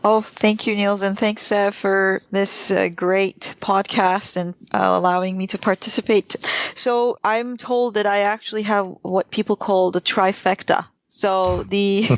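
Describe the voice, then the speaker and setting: A woman from Turkey, a guest on a finance podcast, telling her career story with many small pauses. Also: mid-range voice, flat delivery, dry recording. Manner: explains her career step by step with frequent "uh" pauses. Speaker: pension fund investment director, guest. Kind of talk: podcast interview.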